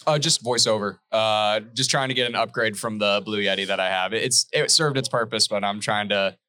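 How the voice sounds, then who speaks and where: A man's voice: lowish voice, normal speed, pushed and bright, no room sound. A young man who wants to be an actor and voice actor, chatting eagerly and casually. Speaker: aspiring actor and voice actor. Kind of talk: casual chat.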